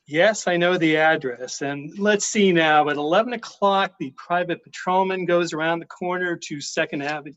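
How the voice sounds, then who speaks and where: A man's voice: on the high side, moderate pace, few pauses, fairly bright tone, dry recording, close to an everyday voice. A man playing a casual, businesslike hired killer in a radio play, offhand and reassuring, on a dull recording. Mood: calm, businesslike. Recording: dull, lo-fi sound.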